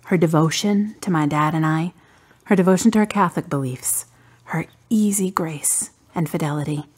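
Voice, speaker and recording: A woman acting the part of a guarded, wry daughter in grief in a radio drama, her delivery measured and dry, her voice husky and breathy. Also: low-pitched, a little mellow. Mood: subdued, grieving.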